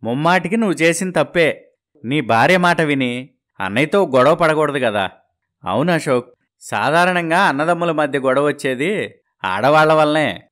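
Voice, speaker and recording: Earnest village man, slightly high-pitched male actor. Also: expressive, normal speed, long pauses, a bit bright. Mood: earnest advising. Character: village men in a moral cartoon, earnest and advising. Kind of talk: scripted cartoon dialogue.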